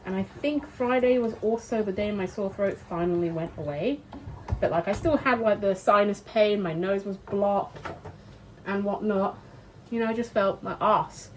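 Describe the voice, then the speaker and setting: A chatty British woman talks casually while cooking in her home kitchen, swearing freely and laughing often. Her voice is congested and sniffly, at a middle pitch. There is a faint hum of room ambience behind her. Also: moderate pace, poor audio. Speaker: vlogger.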